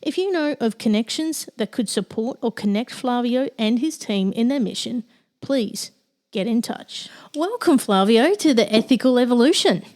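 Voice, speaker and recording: A woman's voice: a little high, animated, moderate pace, a little mellow. A woman hosting an interview podcast, presenting and addressing her guest. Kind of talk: scripted introduction and interview.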